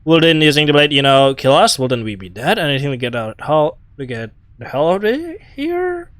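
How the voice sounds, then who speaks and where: A man's voice: on the high side, sing-song, fairly quick, a bit bright, no room sound. A man giving casual, playful game commentary, reacting in short murmured remarks on a close mic. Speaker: gaming video host.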